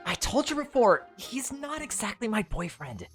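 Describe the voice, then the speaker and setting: A woman voicing a polite, flustered young woman performer who talks in an apologetic, hurried way. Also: mid-range voice, very animated, a bit bright, soft background music, pitched above the performer's own voice. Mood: embarrassed.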